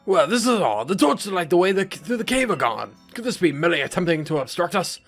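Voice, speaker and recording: Man playing a pompous, animated guide. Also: high-pitched, fairly quick, soft background music, exaggerated voice. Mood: confident, grand. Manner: theatrical, confident.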